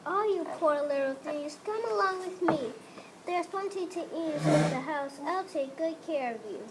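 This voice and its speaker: A woman's voice: high-pitched, animated, moderate pace, noisy background, pitched above the performer's own voice. A female reader voicing a gnarled old witch woman in a storybook, bossy and wheedling, with a thin voice. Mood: falsely sweet. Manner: commanding, wheedling.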